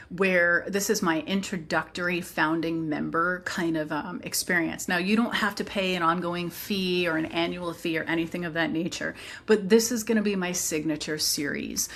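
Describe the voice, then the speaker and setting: A woman's voice: on the low side, vocal fry, fairly bright tone. A woman teacher presenting her program informally, explaining earnestly with conversational asides.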